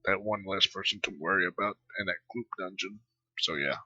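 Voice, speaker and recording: A man's voice: low-pitched, a little fast, bright, forceful tone, no echo, lo-fi sound. A man doing a casual game playthrough, reading dialogue choices aloud and commenting on them. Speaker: gamer playing through a story.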